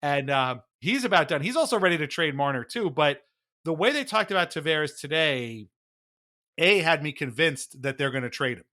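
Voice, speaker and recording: A man hosting a podcast, talking casually about hockey in a rambling, animated, conversational way. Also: fairly high pitch, moderate pace. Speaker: podcast host.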